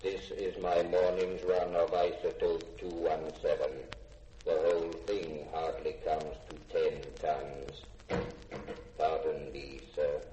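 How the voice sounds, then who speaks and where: An actor playing a polite, literal-minded robot servant in a formal, deadpan manner in a 1950s radio drama. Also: male voice, extremely low pitch, slow pace. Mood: formal, unflappable. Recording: echoey, noisy old radio broadcast.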